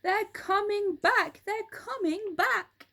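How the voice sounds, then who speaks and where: A frantic bird from a children's storybook, acted out by a woman reader. The voice is very high and piercingly bright, screaming with urgent alarm. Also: slow pace, heavily acted.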